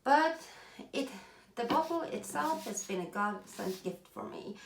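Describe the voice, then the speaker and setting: A woman with a Norwegian accent explaining a craft project in casual chat, chatty and self-deprecating, pausing to search for English words. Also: a little high, expressive, gravelly, echoey room, a little hiss or hum, low-quality recording. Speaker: craft video host. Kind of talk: casual tutorial talk while working.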